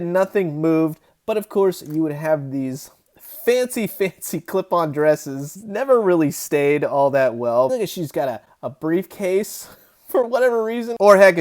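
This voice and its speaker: A man's voice: on the high side, darkish tone. A man in his thirties giving a casual, enthusiastic toy review, chatty and emphatic as the host.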